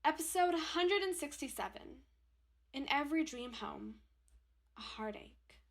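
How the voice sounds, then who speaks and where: A woman's voice: sits high, animated, unhurried, gravelly, heavily acted. An actress playing a bossy, foul-mouthed woman who hosts a ghost-hunting show in a radio drama, with a dramatic delivery. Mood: dramatic, ominous. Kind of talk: radio drama acting as paranormal show presenter.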